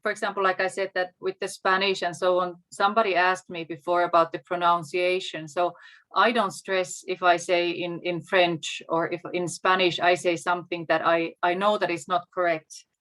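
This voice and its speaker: A woman from Finland with a Finnish accent, a native Finnish speaker, talking as a guest teacher on a video call in a measured, encouraging way. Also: fairly low pitch, flat delivery, a bit bright. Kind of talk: casual video call talk.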